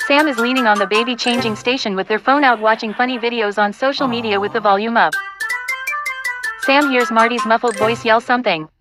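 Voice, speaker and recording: A woman narrates scene directions for an audio play in a fairly high voice. She reads as a scripted narrator, with prominent music behind her. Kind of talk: scripted screenplay narration, reading stage directions.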